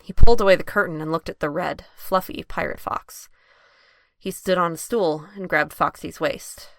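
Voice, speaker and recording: Lowish-voiced woman narrating a drama. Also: moderate pace, gravelly, a bit bright, no echo, studio quality. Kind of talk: scripted audio drama narration.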